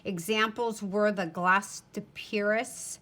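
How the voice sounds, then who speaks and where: A woman's voice: medium pitch, steady tone, slow, bright, forceful tone. A woman teacher reads a textbook aloud to students, breaking off for informal explanatory asides.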